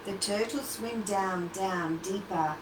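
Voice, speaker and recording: A woman's voice: medium pitch, slow. A woman hosting storytime, reading a picture book to young children with questions and asides to the listeners. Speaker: children's storytime host and teacher. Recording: roomy, distant, noisy livestream audio.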